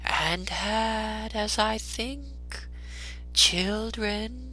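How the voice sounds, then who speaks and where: A man voicing a gentle, ethereal ghost with a soft, breathy, light voice and very slow, measured delivery in a storybook reading. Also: high-pitched, soft background music, pitched above the performer's own voice, heavily acted. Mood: solemn, wistful. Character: gentle, ethereal ghost spirit speaking slowly and softly.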